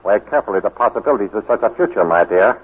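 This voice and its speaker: Cold statesman, 1940s radio drama, formal, measured, grave, long reverb, tinny lo-fi sound. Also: fairly low pitch, lively intonation, slightly rough. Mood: coldly menacing. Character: cold, imperious Japanese baron, head of government.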